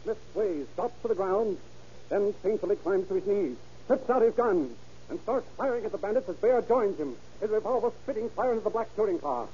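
A man's voice: high voice, lively intonation, a little fast. A man narrating a 1930s radio crime drama with urgent, dramatic delivery. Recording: muffled old radio broadcast with loud hiss.

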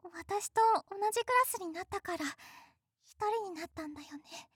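女性声優が、ためらいがちに話す内気な女子高生を演じている。. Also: female voice, very high voice, expressive, moderate pace, no room sound, studio quality. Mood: gentle. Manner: soft, hesitant. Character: shy, timid high school girl. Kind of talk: voice drama acting.

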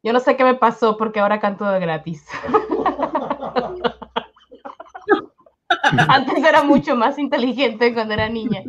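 A woman's voice: fairly high pitch, a little slow, long pauses, noisy background. A woman with a Mexican accent bantering and teasing in a casual family chat. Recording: dull lo-fi sound, people chattering.

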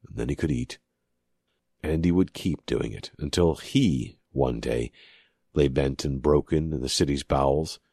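A man's voice: rumbling low, a little mellow, no room sound, clean studio sound. A man narrating scripted fiction as an audiobook reader.

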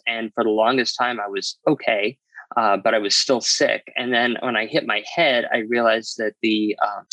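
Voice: medium pitch, fairly bright tone, no room sound, poor audio. An interview guest telling a personal story in a candid, explanatory, matter-of-fact way.

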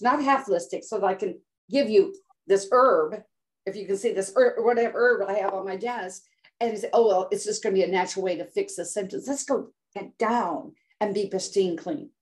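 A woman's voice: medium pitch, moderate pace, darkish tone. Older woman telling a dramatic personal story. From United States. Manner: dramatic, acts out quotes with voice shifts. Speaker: guest health coach. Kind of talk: emotional storytelling in interview.

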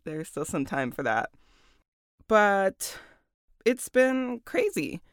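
A woman voice actor with a deep voice, chatting casually about her work, laughing often and using lots of fillers. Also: sing-song, slow, clear, resonant tone, dry recording, clean studio sound. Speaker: voice actor and audiobook narrator.

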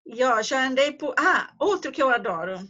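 A woman with a Brazilian accent and a voice on the high side speaks as a tour guide being interviewed. Her style is conversational. The sound is muffled and lo-fi. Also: expressive, normal speed, pushed and bright. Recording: dull, muffled lo-fi audio.

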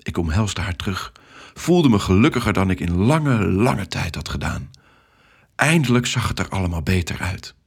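A man's voice: low voice, expressive, moderate pace, vocal fry. A man narrating a scripted first-person story for young children.

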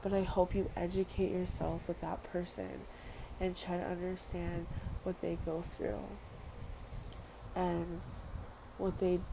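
A woman's voice: lowish voice, little pitch movement, takes it slowly, vocal fry. A young woman from Western Samoa speaking earnestly in a personal vlog monologue, repeating phrases and using casual fillers. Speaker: vlogger. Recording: noisy background ambience.

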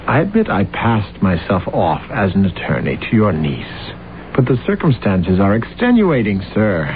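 An actor playing a boastful, ambitious young clerk with a grand, flattering manner, in old-time radio drama style. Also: male voice, lowish voice, normal speed. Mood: earnest pleading. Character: boastful ambitious young man, a smooth-talking law clerk courting a lady. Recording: tinny, noisy vintage radio recording.

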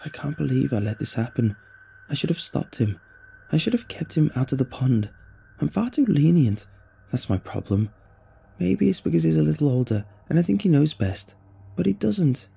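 A man's voice: on the high side, very animated, moderate pace, vocal fry, dark tone, slightly rough, pitched above the performer's own voice, exaggerated voice. A man voicing an anxious young woman who blames herself and speaks earnestly in a storybook. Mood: regretful. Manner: earnest, self-reproaching. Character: anxious, guilt-ridden young woman guide.

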